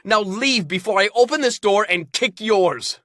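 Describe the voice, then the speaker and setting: A distraught young man, frantic and raw, his voice pushed high and swinging widely, as the narrator puts him on. He speaks slowly, pleading or lashing out. Dry, close recording. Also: big pitch swings, no echo, full character voice. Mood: furious.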